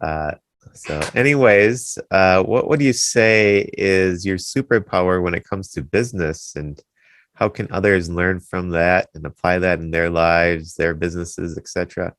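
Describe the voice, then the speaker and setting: A man's voice: deep voice, a little slow, fairly bright tone, no echo. A man from Wisconsin hosting a business interview show, friendly and easygoing, asking short questions. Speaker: podcast host. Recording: dry close sound.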